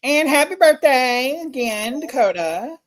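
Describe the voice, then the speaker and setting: A woman's voice: high voice, lively intonation, a little slow. A woman in her forties hosting a casual podcast chat, brash and teasing. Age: 40s. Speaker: podcast host.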